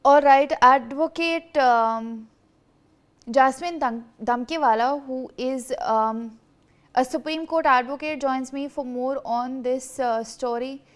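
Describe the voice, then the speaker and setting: A woman's voice: high-pitched, slow. A woman with an Indian accent anchoring live news, speaking with hesitant, broken phrasing.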